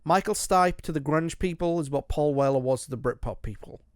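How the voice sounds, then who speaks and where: A man's voice: a little high, a little fast, no room sound, clean studio sound. A man hosting a podcast, chatting casually about music in a rambling way with lots of restarts. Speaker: podcast host.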